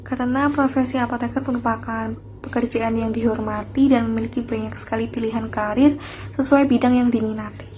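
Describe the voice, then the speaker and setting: Woman from kudus, pharmacy student, slightly high, composed, faint noise. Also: steady tone, a little fast, darkish tone.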